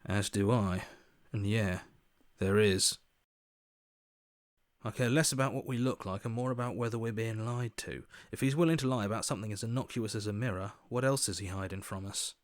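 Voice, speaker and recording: A man acts out a video game hero who serves as a voice of conscience. He sounds earnest, cautious and concerned, in a fairly low voice recorded very dry. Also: moderate pace, pauses often, dry recording, natural voice. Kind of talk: dramatic video game voice acting.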